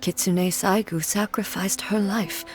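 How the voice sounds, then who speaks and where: A woman playing a solemn, immortal female ruler in a video game, speaking in a measured, formal and grave manner. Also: medium pitch, fairly even intonation, over music, close to an everyday voice. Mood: solemn grief.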